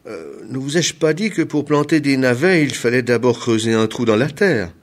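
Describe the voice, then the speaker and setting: Man as a sly, lively-pitched folk-tale tradesman. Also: moderate pace, natural voice. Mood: calmly assured. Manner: polite, patient explaining with hesitant ers and mild impatience. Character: sly, unflappable itinerant hair-planting tradesman, falsely deferential.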